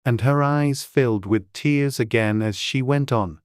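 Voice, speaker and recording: Woman, audiobook storyteller, extremely low voice, unhurried measured narration, dry recording. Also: darkish tone, clean tone, no room sound.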